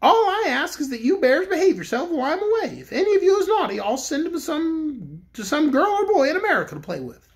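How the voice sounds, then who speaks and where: A stately toy bear king from a storybook, read by a woman. He speaks in a calm, measured and reflective way, with a touch of grandness. His voice sits high and moves in a lively way. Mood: calm regal.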